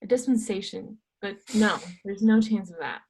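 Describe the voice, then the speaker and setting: A woman reading the part of a cautious older male physician and astronomer, hesitant and gently apologetic, in an amateur readers theatre. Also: mid-range voice, moderate pace, husky, low-quality recording. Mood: apologetic, reluctant.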